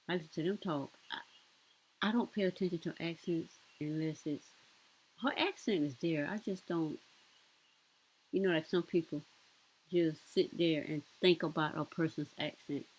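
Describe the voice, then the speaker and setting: A woman's voice: low voice, darkish tone, slightly rough, poor audio. A woman with a Southern US accent giving casual reaction commentary in a rambling, colloquial way.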